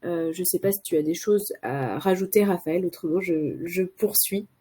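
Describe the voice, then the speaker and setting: A woman's voice: on the low side, normal speed, mellow, husky. A woman with a cold, presenting as a consultant in a live webinar, hesitant with many filler sounds and restarts. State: has a cold.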